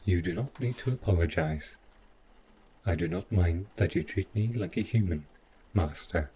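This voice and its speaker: A very deep-voiced robot butler, acted out by a man, calm and devoted. He speaks in stiff, precise, deferential phrases, like a servant in a sci-fi audio drama. A steady noise sits behind the voice. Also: moderate pace, lightly acted. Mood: calm, attentive. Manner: formal, stiffly precise, deferential, avoids contractions. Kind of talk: scripted roleplay audio drama.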